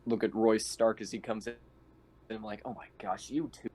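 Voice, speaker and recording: A man's voice: on the low side, fairly even intonation, moderate pace, a little mellow, husky. A man improvising casually as a player in a tabletop roleplaying game, in a hesitant, stumbling manner.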